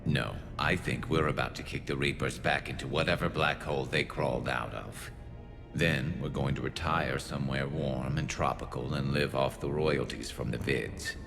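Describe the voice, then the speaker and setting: Very deep gravelly alien soldier. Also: male voice, fairly even intonation, over music. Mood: wry, resolute. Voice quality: gravelly, resonant alien rasp. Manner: dry humour, halting when flustered. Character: battle-hardened alien male soldier, loyal, dryly witty, awkward. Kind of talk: video game voice acting.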